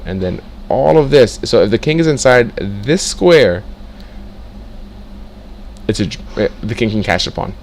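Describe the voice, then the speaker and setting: A young man teaching chess in a casual way, reading out moves and breaking into excited exclamations as he works through the position. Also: lowish voice, creaky, slight background noise. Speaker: chess tutor. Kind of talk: casual screen-share lesson, thinking aloud.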